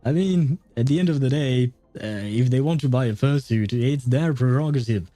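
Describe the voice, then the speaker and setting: Male streamer, mellow relaxed tone, dry close sound, casual rambling chat, easygoing, music behind. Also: medium pitch, normal speed, no echo. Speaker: livestreamer. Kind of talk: casual livestream chat about games and life.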